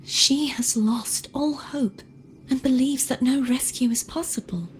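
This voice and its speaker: Slow, soft-spoken doomed young woman. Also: high voice, a little hiss or hum, poor audio. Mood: sorrowful, at peace. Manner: solemn, deliberate, wistful. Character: gentle doomed young woman, resigned and self-sacrificing. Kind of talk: dramatic video game voice acting.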